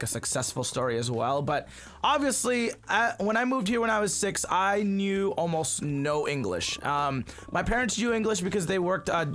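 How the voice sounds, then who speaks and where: A man's voice: fairly high pitch, fairly bright tone. A man born in the Philippines, chatting casually to viewers at home while playing a game. He rambles and fills his talk with "uh" and "you know.". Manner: rambling, filler-heavy, addresses viewers as "you guys". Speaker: gaming channel host. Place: at home, family cleaning in the house.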